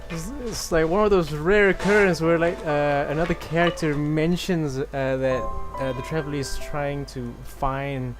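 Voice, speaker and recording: A young man from Malaysia giving casual live gameplay commentary, thinking aloud in a halting way. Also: fairly high pitch, fairly even intonation. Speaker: game streamer. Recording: game music behind the voice. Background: game music.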